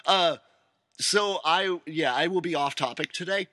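A man's voice: a little high, a little slow, pushed and bright, dry recording. A man co-hosting a casual podcast, joking and rambling with self-mocking asides. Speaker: podcast co-host. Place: rural home studio.

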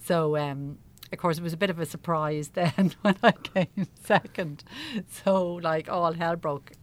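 A woman's voice: lowish voice, fairly even intonation, unhurried. A middle-aged Irish woman chatting casually as an interview guest, telling anecdotes in a self-deprecating way. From Ireland. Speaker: interview guest, amateur actor.

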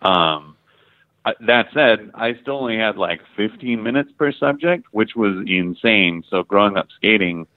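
A low-pitched middle-aged American man from the Midwest tells stories in a loose, filler-heavy way, swearing casually as he goes. His voice comes through a narrow, phone-like line with a dry sound. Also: animated, unhurried, no room sound. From Ohio and Michigan, US. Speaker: guest photographer. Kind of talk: casual interview chat.

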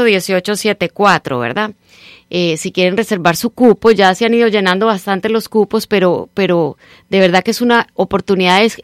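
A middle-aged Colombian woman with a slightly mixed Nicaraguan accent, speaking as a radio guest and time-management trainer in an animated, persuasive coaching tone. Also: mid-range voice, dry recording, studio quality. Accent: Colombian Spanish mixed with Nicaraguan. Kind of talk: radio interview, promoting a seminar.

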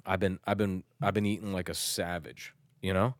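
Man from turkey, low voice, dry close sound, casual rambling profane chat. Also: steady tone, normal speed, dry recording. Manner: rambling, profane, self-mocking, with many restarts.

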